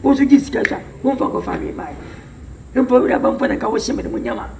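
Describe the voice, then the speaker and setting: A man's voice: high voice, very animated, quick pace, gravelly, darkish tone, exaggerated voice. An actor with a Ghanaian accent playing a scheming older man who bargains over money. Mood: persuading. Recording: roomy, distant, faint hiss.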